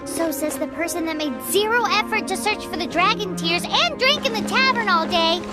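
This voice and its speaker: A woman voicing a tiny childlike fairy companion in a video game, chirpy, cheeky and exclamatory, with a squeaky voice. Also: expressive, moderate pace, over loud music. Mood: indignant. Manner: speaks of herself in third person, exclamatory.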